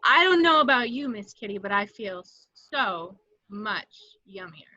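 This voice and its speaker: A woman's voice: mid-range voice, lively intonation, measured pace, sharp tone, no room sound, lo-fi sound. A woman playing a flirty, brazen, confident woman with a sultry, teasing delivery in an amateur script read.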